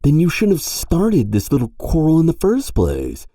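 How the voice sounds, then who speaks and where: Man putting on a prim, high-pitched angel. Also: huge pitch swings, moderate pace, dark tone, no room sound, clean studio sound. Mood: reproachful. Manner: formal, prissy, persuasive. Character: prim, indignant angel of heaven, earnest and persuasive. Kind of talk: audio roleplay acting.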